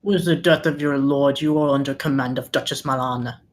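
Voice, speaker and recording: Woman reading as a very low-voiced fantasy soldier. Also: steady tone, brisk, slight background noise. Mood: formal, commanding. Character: soldiers, sailor and officer in a fantasy war script. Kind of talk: scripted table-read acting.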